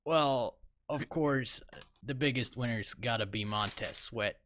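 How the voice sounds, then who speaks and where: A man's voice: medium pitch, measured pace, a bit bright, no room sound. A young man co-hosting a sports podcast, giving casual, rambling analysis with lots of fillers. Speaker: podcast co-host.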